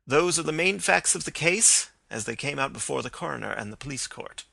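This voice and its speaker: A man narrating a scripted story in a measured, formal way with a gravelly voice. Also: fairly high pitch, animated, bright, forceful tone, no room sound.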